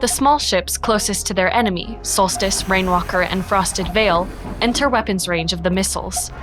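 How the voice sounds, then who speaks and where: Steady woman narrator over music. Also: mid-range voice, normal speed. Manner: steady, descriptive. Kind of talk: scripted sci-fi audio drama narration.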